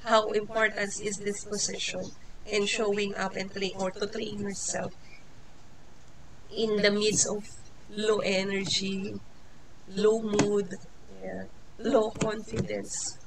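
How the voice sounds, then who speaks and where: Slow, halting Filipino woman teaching. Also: medium pitch, level tone, creaky, crowd noise, poor audio. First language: Tagalog. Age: 40s. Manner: hesitant, many fillers and restarts, laughs often. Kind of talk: informal lecture.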